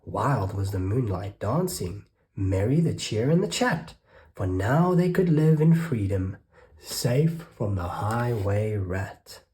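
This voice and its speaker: Slow, expressive children's storyteller. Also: mid-range voice. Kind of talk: children's read-aloud narration.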